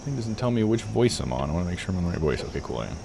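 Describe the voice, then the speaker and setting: A man's voice: deep voice, sing-song, racing, gravelly, noisy background. A man giving casual, slangy live commentary as he streams a game.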